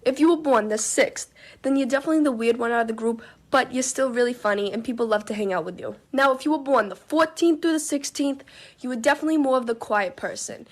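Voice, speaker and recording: Fast-talking young woman in a chatty clip. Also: fairly high pitch. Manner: chatty, upbeat listing. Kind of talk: social media clip monologue.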